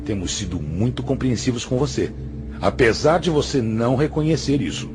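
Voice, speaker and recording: A man's voice: mid-range voice, moderate pace, natural voice. A man acting in a radio drama as a stern, patient spiritual master, speaking in a solemn, admonishing and didactic way. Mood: firm reproach. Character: stern, patient male spiritual master, grave moral counselor. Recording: music under the voice.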